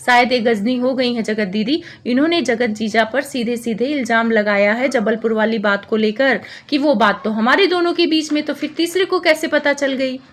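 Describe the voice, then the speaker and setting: A woman with a distinctly high-pitched voice delivers informal commentary in the manner of a gossip vlogger. She is opinionated and accusatory, recapping and judging as she goes. She often circles back and repeats her phrases for emphasis. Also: moderate pace, hardly any pauses.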